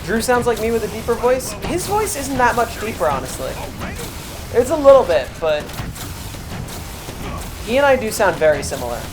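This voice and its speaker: A man in his twenties with a high tenor voice, chatting casually and thinking aloud while streaming a game. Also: normal speed, pauses often, music in the background. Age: 20s. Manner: relaxed, rambling, thinks aloud. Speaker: live streamer. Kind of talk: casual chat while gaming. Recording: clear background noise.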